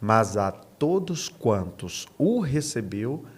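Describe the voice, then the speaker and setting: A man's voice: fairly low pitch, very animated, takes it slowly, breathy edge. A man with a Brazilian accent speaking as a pastor on a podcast, teaching conversationally, posing questions and answering them himself, repeating key phrases.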